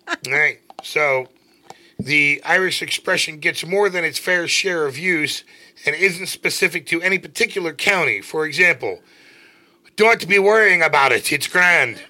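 A middle-aged man co-hosting a casual show, reading slang out loud with jokes and a mock Irish accent. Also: fairly high pitch, lively intonation, measured pace, pauses often. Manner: joking, puts on a playful Irish accent for the sample sentences. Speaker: co-host.

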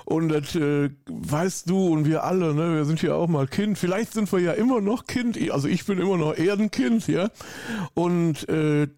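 Gravelly man with a Rhineland accent. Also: fairly high pitch, moderate pace, mellow, no room sound, clean studio sound. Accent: Rhineland German. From Rhineland, Germany. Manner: effusive, rambling, many fillers. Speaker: interview guest, coach. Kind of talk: casual interview.

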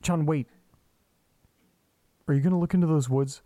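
A man's voice: a little high, moderate pace, dark tone, a little hiss or hum, lightly acted. A man playing an awkward, insistent amateur investigator in an audio drama, speaking in a hesitant, casual way. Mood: hesitant, puzzled. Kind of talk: naturalistic audio drama acting.

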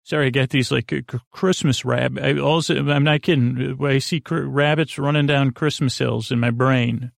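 Man, gravelly voice, dry close sound, meandering bedtime podcast host, stammers and loses track. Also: mid-range voice, steady tone, normal speed, hardly any pauses, no room sound. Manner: meandering, stammering, loses his train of thought. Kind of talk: rambling bedtime podcast monologue.

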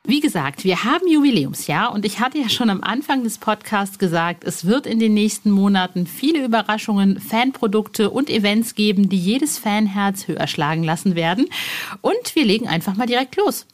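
A woman presents a podcast in a chatty, informal style. She talks straight to her listeners with a playful touch, in a voice of medium pitch. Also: lively intonation, normal speed. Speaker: podcast host.

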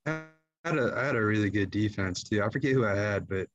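A man's voice: fairly low pitch, lively intonation, fast talker, a bit bright, lo-fi sound, faint music behind. A young man co-hosting a casual podcast, laid-back and drawling, often calling his friend "dude". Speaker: podcast co-host. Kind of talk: casual chat.

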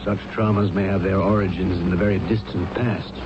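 An actor playing a stern, clinical psychiatrist, curt and authoritative in a radio drama. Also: male voice, deep voice, steady tone, a little fast, close to an everyday voice. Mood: clinical, probing. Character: stern, clinical, probing psychiatrist, impatient with patient's husband. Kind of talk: 1970s radio drama acting. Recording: noisy, muffled 1970s radio drama tape.

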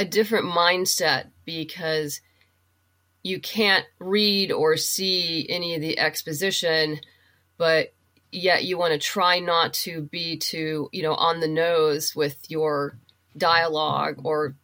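A woman's voice: on the low side, bright, forceful tone. A middle-aged woman chatting and explaining things casually as a guest in an interview. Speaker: podcast guest, writer and producer.

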